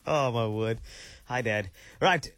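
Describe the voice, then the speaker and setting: A man's voice: mid-range voice, slow pace, fairly bright tone, dry recording. A man with a South African accent hosting a radio show, chatting and telling stories with easy laughs. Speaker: radio host. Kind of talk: casual radio chat. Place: radio studio. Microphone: studio microphone.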